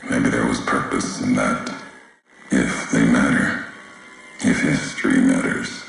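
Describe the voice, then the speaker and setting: An actor playing a grim, weary wasteland courier in a video game, speaking in solemn, cryptic, clipped phrases with a gravelly voice. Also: male voice, extremely low pitch, takes it slowly, a bit bright, very echoey, a little hiss or hum, heavily acted. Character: grim, weary, brooding male wasteland courier, philosophical and menacing. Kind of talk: scripted video game character voice lines.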